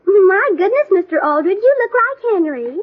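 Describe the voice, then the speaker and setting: A woman's voice: extremely high pitch, very animated, moderate pace. An actress playing a gushing, blunt teenage girl with a girlish, artless manner in a 1940s radio comedy. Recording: tinny old radio recording.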